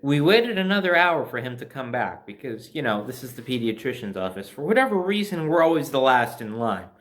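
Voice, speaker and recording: A man's voice: medium pitch, very animated, brisk, a bit bright. A man from New Jersey with an American accent tells a personal story casually, conversationally, with sardonic asides. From New Jersey, USA.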